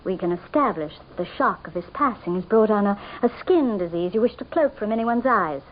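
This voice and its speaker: A woman's voice: mid-range voice, expressive, fairly quick, a little hiss or hum, natural voice. An actress playing a sly, insistent lady's maid with sinister composure in an old-time radio mystery, coaxing and knowing. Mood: calm, persuasive. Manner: coaxing, knowing, deferential on the surface. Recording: dull old radio drama recording.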